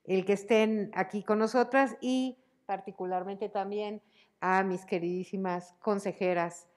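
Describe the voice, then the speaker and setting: A middle-aged woman with a Mexican Spanish accent, speaking unscripted at an indoor award ceremony into a handheld mic through a face mask, measured, with frequent fillers and restarts. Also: fairly low pitch, a little mellow. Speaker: human rights commission president. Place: indoor event stage. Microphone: handheld mic, masked.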